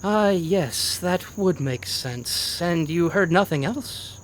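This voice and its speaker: A polite, evasive male villain, voiced by an actor in a radio play, putting on neighborly concern. His delivery is slightly hesitant. Clear background noise with chirping insects surrounds him. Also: high-pitched, expressive, slow pace. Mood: evasive, relieved. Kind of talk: audio drama acting.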